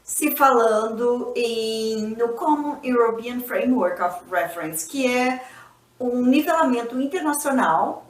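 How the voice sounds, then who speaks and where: A woman's voice: on the high side, distant mic. A young adult woman, an English teacher and native Portuguese speaker, explaining a lesson in a didactic way and often checking in with tag questions. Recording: echoey room, voice a bit off-mic.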